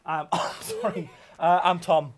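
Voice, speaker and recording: A man's voice: sits high, wildly swooping pitch, unhurried, a crowd in the background. A young man in his twenties from North Yorkshire, jokey and a bit awkward, chatting on a date in a cinema. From North Yorkshire, England. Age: 20s. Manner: jokey, laughs a lot, a little awkward. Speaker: dating show contestant. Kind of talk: casual chat. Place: dimly lit cinema auditorium.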